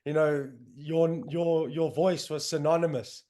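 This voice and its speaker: Young man, South African accent, podcast host, enthusiastic, lots of fillers, slightly high pitch. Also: fairly even intonation, unhurried, dark tone. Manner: loose, filler-heavy, enthusiastic. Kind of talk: casual podcast chat. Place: home in northern England, on a video call.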